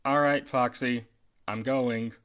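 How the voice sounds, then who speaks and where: Audio drama role , male actor: mild, hesitant everyman, slow delivery, mid voice, politely resigned. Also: mid-range voice, fairly even intonation, a bit bright, smooth. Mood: uneasy. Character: reluctant, polite night guard wanting to leave.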